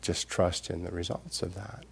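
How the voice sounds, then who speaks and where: An older man, a Buddhist teacher, giving an unscripted Dharma talk in a gentle, reflective way with pauses. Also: sits low, gravelly, breathy edge.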